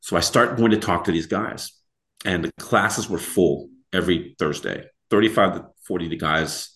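A man with a deep voice, a podcast guest telling stories in an earnest, plain-spoken way. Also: fairly bright tone. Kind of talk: casual storytelling.

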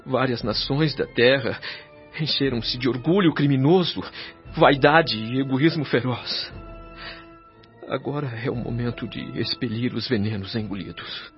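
Grave male mentor played by an actor, Brazilian radio drama, solemn and deliberate, over music with dulled sound. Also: mid-range voice, natural voice. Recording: dull lo-fi, music underneath.

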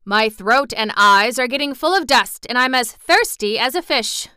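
A woman's voice: a little high, animated, moderate pace, sharp tone, clear, resonant tone, no room sound, studio quality, pitched above the performer's own voice. A woman voicing a fierce, grumpy monster chief, growling and angry.

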